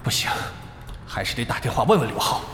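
Scheming, vengeful young gamer boss, played by a man, animated delivery, long hall reverb. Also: mid-range voice, quick pace, background noise, natural voice. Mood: anxious. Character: scheming, vengeful young male game guild leader. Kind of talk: Chinese audio drama acting. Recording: echoey hall reverb, faint music.

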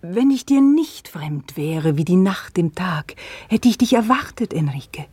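An actress playing a young widow who speaks slowly and often in a whisper, in a radio play. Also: female voice, on the low side, expressive, husky, no room sound. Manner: slow, hushed, often whispering. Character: Ines, young widow, estranged, bitter. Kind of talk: radio drama acting.

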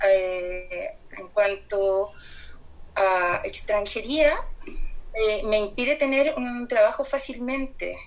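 A woman's voice: on the high side, low-quality recording. A woman with a Chilean accent speaking spontaneously in an online group debate, hesitant and searching for her words. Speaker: doctoral student and care worker speaking as participant. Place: online video call.

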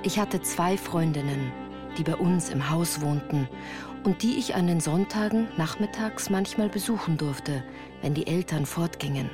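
A woman played by an actress narrates her own childhood for a radio drama. Her voice is fairly low, composed and grave, with literary phrasing and music clearly audible behind it. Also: moderate pace, lightly acted. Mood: sober, reflective. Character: woman recounting her hard Bavarian childhood. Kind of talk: first-person scripted narration for radio drama.

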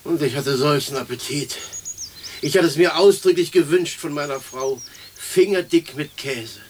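Man playing meek mournful husband, plaintive, slightly high, off from the mic, faint noise, radio drama. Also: normal speed, recorded from a distance, lightly acted. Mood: mournful longing. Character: humble, mournful dead husband longing for his sandwich. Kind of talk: German radio play acting.

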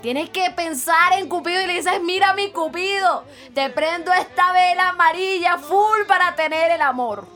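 A woman plays a bossy, superstitious Colombian cleaning lady in a comic radio drama. Her voice is extremely high and piercingly bright. She teases in theatrical, colloquial style, with music behind her. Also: moderate pace, few pauses. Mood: teasing.

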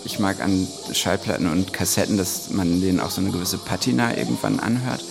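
A man chatting casually and spontaneously as an interviewee, with loud noise and clicks in the recording. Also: sits low, lively intonation, fairly quick, gravelly, over music.

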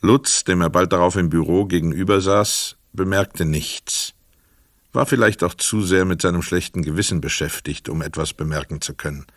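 A man narrating a literary crime radio play as a sober storyteller, measured, precise and dramatic. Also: low voice, no echo, clean studio sound, natural voice.

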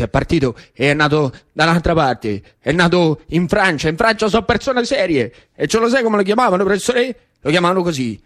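Man acting an insistent, excitable Roman schoolboy. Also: fairly high pitch, pitched below the performer's own voice. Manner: halting, repetitive, insistent questioning. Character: eager, indignant schoolboy proud of migrant grandfather. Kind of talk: theatrical radio monologue.

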